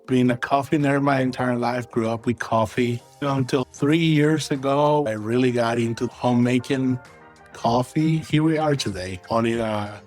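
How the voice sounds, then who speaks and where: A man from Venezuela, a guest on an interview podcast, talking in a relaxed, rambling way and often tagging his sentences with "right?". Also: mid-range voice. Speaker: guest, coffee roaster and content creator. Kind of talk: casual interview talk.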